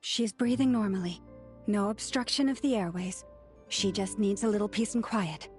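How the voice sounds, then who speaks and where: A woman playing a calm, reassuring healer in a video game, speaking gently and a little formally. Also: mid-range voice, normal speed, music in the background, natural voice.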